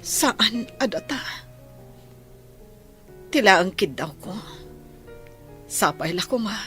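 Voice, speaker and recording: A woman playing a poor, blind, long-suffering mother in a radio drama, speaking softly and with resignation. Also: medium pitch, lively intonation, takes it slowly, soft background music. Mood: resigned. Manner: soft, resigned, apologetic.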